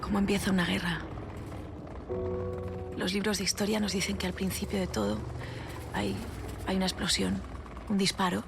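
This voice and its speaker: An actress plays a solemn woman narrator in an audio drama. Her voice has a gravelly creak and moves with measured, deliberate pauses. Music runs clearly behind her. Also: mid-range voice, little pitch movement, natural voice. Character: grave woman narrator looking back on war. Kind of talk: dramatic scripted monologue in an audio fiction series.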